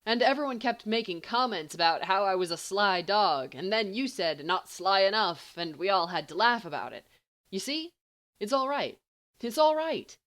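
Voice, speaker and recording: A woman's voice: mid-range voice, expressive, normal speed, a bit bright, close to an everyday voice. A woman voicing a brash, joking teenage boy in audiobook dialogue, rambling and emphatic. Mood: anxious reassuring.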